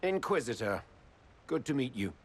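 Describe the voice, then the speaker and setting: A man's voice: medium pitch, very animated, moderate pace, breathy edge. An actor playing a stern male nobleman envoy, speaking formally and firmly. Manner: formal, firm, courtly. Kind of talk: video game cutscene acting.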